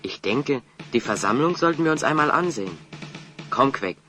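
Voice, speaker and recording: A man playing a calm, inquisitive adventurer hero in an audio drama, speaking deliberately and decisively. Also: mid-range voice, soft background music. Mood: calm, resolute. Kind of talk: German audio drama acting.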